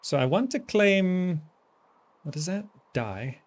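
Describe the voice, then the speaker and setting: Slow-paced man thinking aloud over a game. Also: high voice, big pitch swings. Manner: thinking aloud while playing. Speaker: streamer playing a strategy game. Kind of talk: casual live gameplay commentary.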